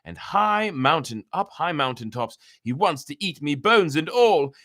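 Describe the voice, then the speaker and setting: Man acting a small cockerel, shrill, raised pitch, defiant, animated, storybook fairy tale. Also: a little slow. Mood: panicked.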